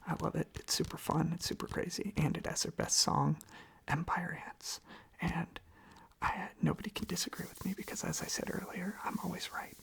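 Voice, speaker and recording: Whispery asmr person with lots of vocal fry, sleepy casual rambling, repeats stuff softly. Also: medium pitch, steady tone, moderate pace. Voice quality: whispered, breathy. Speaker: ASMR video creator. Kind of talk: ASMR, softly rambling casual chat while tapping on objects. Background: tapping and clicking on objects.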